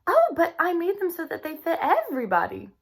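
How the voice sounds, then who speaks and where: Woman acting out a young girl, eager, polite, earnest, high lilting pitch, children's storybook. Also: wildly swooping pitch, a little fast, creaky, heavily acted. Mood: eager, hopeful. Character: Lily, eager sweet hopeful young girl. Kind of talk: children's storybook read-aloud.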